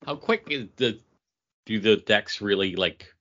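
A man's voice: fairly low pitch, expressive, measured pace, pushed and bright, muffled recording. A man co-hosting a podcast in informal, inquisitive casual chat. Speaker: podcast co-host.

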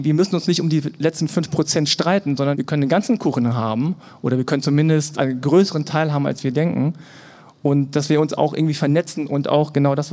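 A man from Germany speaking in a fairly high register at a live panel event. He talks in a loose, easygoing way, slipping into little voices when he quotes other people. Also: gravelly, a little mellow. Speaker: podcast guest. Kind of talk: casual panel conversation.

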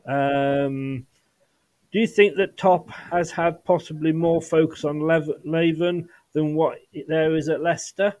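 A man hosting a football fan podcast, reading out questions in a relaxed, hesitant way with plenty of fillers. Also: on the high side, a little slow. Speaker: podcast host. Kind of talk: casual chat.

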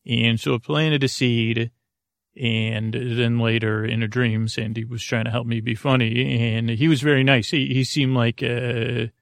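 A man's voice: medium pitch, little pitch movement, a little slow, vocal fry, dry recording, studio quality. A man hosting a podcast in a rambling, casual monologue, hesitant, often trailing off and doubling back. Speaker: podcast host.

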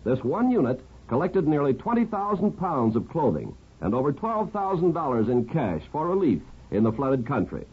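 Casting: male American announcer, vintage radio host, very wide expressive intonation, tinny broadcast sound. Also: on the high side, normal speed, hardly any pauses, husky. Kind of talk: 1950s scripted radio narration.

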